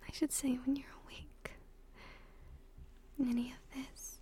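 A woman acting a soft, high-voiced, tender girlfriend. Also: level tone, unhurried. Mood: hesitant, tender confession. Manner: soft, breathy, often whispered, affectionate. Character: tender, emotional young girlfriend comforting her boyfriend. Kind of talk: intimate roleplay audio drama, addressed to the listener.

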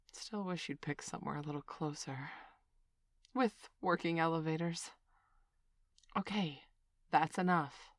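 A woman plays a middle-aged parent with a fairly low voice who speaks slowly and deliberately. The parent swings between scolding and soothing, and the recording is close and dry. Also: no echo, lightly acted. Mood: affectionate. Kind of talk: scripted audio roleplay.

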